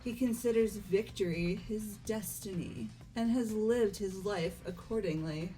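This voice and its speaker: A woman voicing a refined, calmly competitive young lady in a fan-voiced game, with measured, polite and precise speech. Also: medium pitch, faint music behind, close to an everyday voice, rough recording. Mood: composed, knowing. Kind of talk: amateur voiced video game playthrough.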